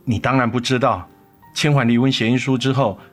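A gentle, pleading father, played by a man in a radio drama, speaking in a mid-range voice. He is earnest, coaxing and full of regret, over a bed of music. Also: medium pitch, steady tone, fairly quick, natural voice. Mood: remorseful, pleading. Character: remorseful, pleading father and ex-husband, tender. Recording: music under the voice.

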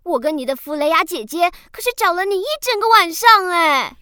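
A woman acting as a scolding but caring older sister in a children's radio drama, with a brisk, bossy delivery. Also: extremely high pitch, expressive, sharp tone, no room sound, clean studio sound, full character voice.

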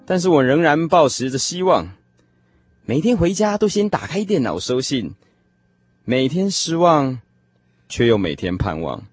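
Lovesick young man, animated intonation, sighing radio drama narration, prominent music behind. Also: fairly high pitch, a little slow, pauses often, husky, no room sound, full character voice. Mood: wistful longing. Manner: expressive, sighing, emotional storytelling. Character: lovesick young man retelling his lost first love, wistful.

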